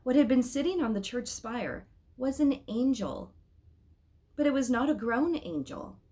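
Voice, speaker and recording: Animated woman reading a story aloud. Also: high voice, measured pace. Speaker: storybook reader. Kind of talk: expressive read-aloud narration.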